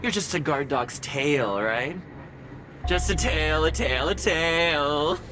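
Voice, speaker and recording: A man voicing a cruel, taunting villainous spirit in an anime game, theatrical, drawing out his words, with music behind. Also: on the high side. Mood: mocking, gleeful. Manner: drawn-out, mocking, theatrical.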